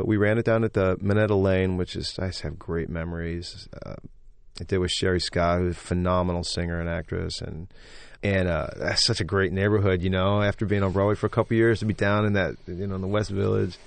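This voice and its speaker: A man, a stage actor and singer, talking enthusiastically as a guest in an interview, with a loud, braying voice. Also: low-pitched, brisk, vocal fry, no room sound. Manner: enthusiastic, effusive. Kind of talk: casual interview talk.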